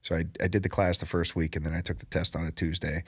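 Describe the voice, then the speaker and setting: A man with a low, deep voice tells his story at an easy pace and laughs often. The sound is dry and close, with no room behind it. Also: level tone, quick pace, a bit bright, husky, dry recording. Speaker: podcast guest. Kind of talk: casual chat.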